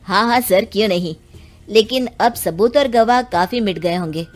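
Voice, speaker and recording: A woman plays a diligent police inspector in a cartoon moral tale. The mid-pitched voice is earnest and deferential. Music runs behind it. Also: moderate pace, natural voice. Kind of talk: Hindi animated moral story dialogue.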